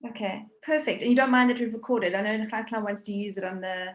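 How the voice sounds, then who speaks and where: A woman's voice: medium pitch, brisk, roomy sound. A woman interviewing a graduate over a video call, friendly and encouraging in a casual way. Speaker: interviewer from the university.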